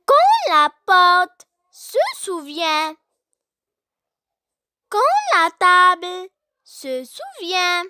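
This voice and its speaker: A very high-pitched, childlike voice recites poetry with a bright tone and lively melody. The delivery is deliberate, broken into short phrases with long pauses between them, as if for young learners to follow. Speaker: poem reciter.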